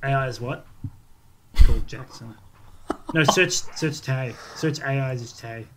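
A man's voice: medium pitch, measured pace. A man co-hosting a podcast in casual, joking banter, giggly and often restarting his phrases. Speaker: podcast co-host. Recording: clear background noise with clicks.

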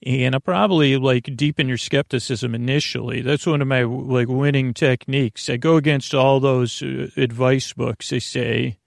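A man with a creaky, mid-pitched voice hosts a bedtime show, recorded very dry. He rambles in a drawn-out, halting way, wandering off on whimsical tangents and gently undercutting himself as he loses his thread. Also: fairly even intonation, no echo. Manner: hesitant, halting, with frequent digressions and lost trains of thought; drawn-out, whimsical, self-deprecating delivery. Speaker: host of a bedtime podcast. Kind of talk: rambling, meandering monologue to listeners.

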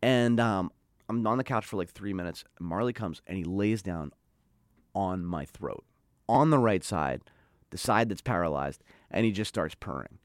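A man's voice: sits low, animated, normal speed, no room sound, clean studio sound. A middle-aged man telling a story in a casual interview, chatty and full of "like", acting out what people said. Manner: chatty, lots of "like", acts out quoted voices. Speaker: guest.

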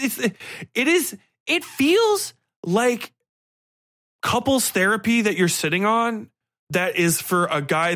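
A man's voice: on the high side, fairly bright tone, no echo, clean studio sound. A man hosting a book podcast, rambling through a casual review in blunt, irreverent, profanity-heavy slang. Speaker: podcast host reviewing books.

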